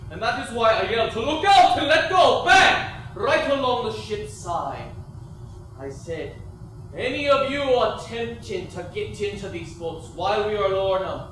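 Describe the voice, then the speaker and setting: An actor playing a defensive, agitated ship's officer giving testimony on stage with emphatic drama. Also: male voice, high voice, lively intonation, unhurried, fairly bright tone. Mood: fierce, alarmed. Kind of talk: theatrical stage acting. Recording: very echoey theatre, distant, noisy.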